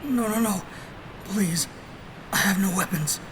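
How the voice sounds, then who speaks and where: A woman's voice: measured pace, close to an everyday voice. A woman voicing a desperate, frightened male follower who stammers and pleads for help in an audio drama. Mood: pleading. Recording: rumbling noise behind.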